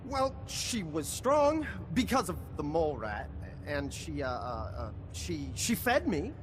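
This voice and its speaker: Simple game settler, high for a man, very lively pitch, earnest, stammering, faint noise behind. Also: unhurried. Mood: flustered, earnest. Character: naive, eager-to-please, simple male wasteland settler. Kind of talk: video game character dialogue.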